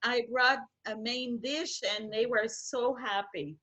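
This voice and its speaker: A middle-aged woman whose first language is French, chatting as a guest cook, halting and restarting her sentences as she searches for words. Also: fairly high pitch, steady tone, pushed and bright, dull audio. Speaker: schoolteacher. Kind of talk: casual interview chat.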